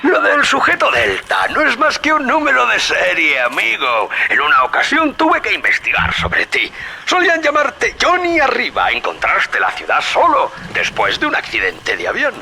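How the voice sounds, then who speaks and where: A man's voice: high-pitched, huge pitch swings, normal speed, vocal fry, bright, forceful tone, slight background noise, exaggerated voice. An actor playing a sly, scheming middle-aged businessman in a dubbed video game, wheedling and folksy. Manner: wheedling, folksy, calls the listener friend and brother. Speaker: radio guide character in a dubbed video game.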